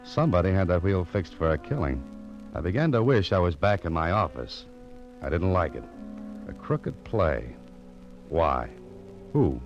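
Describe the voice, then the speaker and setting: An actor playing a world-weary, wry American private detective in a deadpan radio noir style. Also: male voice, low voice, unhurried, pauses often, faint music behind. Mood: wry suspense. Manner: dry, deadpan, wisecracking. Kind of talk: 1940s-50s radio noir narration and dialogue. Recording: muffled vintage radio recording.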